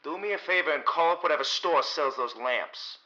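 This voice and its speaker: Man acting sharp sardonic radio detective, forceful bright voice. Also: fairly high pitch, fairly quick. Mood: dry, sardonic. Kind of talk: 1930s radio mystery acting. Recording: dull lo-fi recording.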